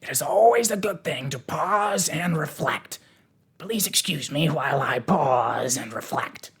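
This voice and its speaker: A reader voicing an unflappable, kindly scarecrow king from a storybook, musing in a measured, mildly pompous way. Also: mid-range voice, expressive, fairly bright tone, slightly rough, exaggerated voice.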